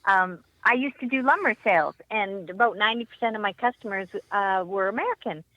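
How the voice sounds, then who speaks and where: A woman's voice: mid-range voice, a little fast, bright, forceful tone, no echo, muffled recording. A woman chatting as a guest on a talk show, friendly and full of ums. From Canada. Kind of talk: casual chat.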